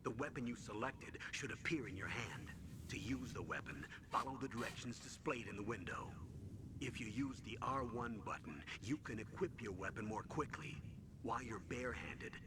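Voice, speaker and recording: An actor playing a stern, steady older military commander in a video game, with a measured, authoritative delivery. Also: male voice, natural voice. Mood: instructive. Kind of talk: scripted video game voice acting. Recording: clearly noisy game audio with low rumble.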